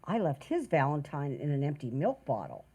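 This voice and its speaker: A woman's voice: deep voice, very animated, moderate pace, dark tone, slightly rough, exaggerated voice. A woman playing a timid, romantic, deferential housemaid in an old-time radio sitcom. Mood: meek, dreamy.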